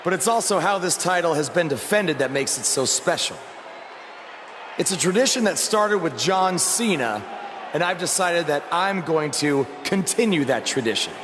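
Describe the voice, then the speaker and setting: A proud young rookie champion wrestler voiced by a man gives a declamatory promo in a video game. His fairly high voice swings widely and rings through a reverberant arena. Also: animated, normal speed, natural voice. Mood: proud, earnest. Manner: earnest, declamatory. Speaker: wrestler giving an in-ring promo. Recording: reverberant arena, noise behind.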